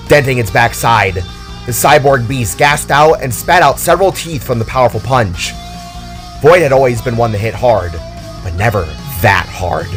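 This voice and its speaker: A man reading scripted fiction as a dramatic story narrator. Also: medium pitch.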